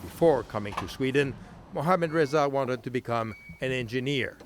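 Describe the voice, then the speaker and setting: A man reporting, narrating a news feature in a measured, scripted voice-over. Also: mid-range voice, a little hiss or hum. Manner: measured, even delivery. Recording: voice-over with music bed. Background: music.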